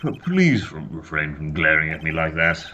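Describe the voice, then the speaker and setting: A man voicing an old male courtroom judge who speaks slowly and solemnly over faint game music. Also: extremely low pitch, sing-song, bright, forceful tone, lo-fi sound, slight background noise, voice pushed down, heavily acted. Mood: ponderous.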